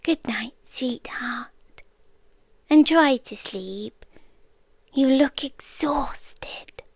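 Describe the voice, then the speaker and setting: A British woman playing a calm, obsessive stalker girlfriend in an intimate ASMR roleplay, hushed and deliberate, often slipping into whispers, tender yet softly menacing. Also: high voice, no echo, exaggerated voice. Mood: tender, ominous. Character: soft-spoken obsessive girlfriend stalker, calm, coolly intense, yandere.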